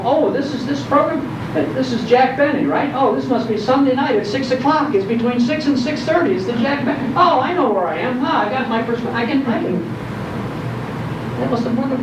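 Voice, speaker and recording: An older man with a high-pitched voice gives a nostalgic public talk, leaning on repeated phrases like a keen storyteller. He is heard from a distance in an echoey room, with clear background noise. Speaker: speaker on old-time radio history. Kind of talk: nostalgic talk to a live audience. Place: indoor program venue, recorded from afar. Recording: dull, distant, echoey hall recording, loud noise.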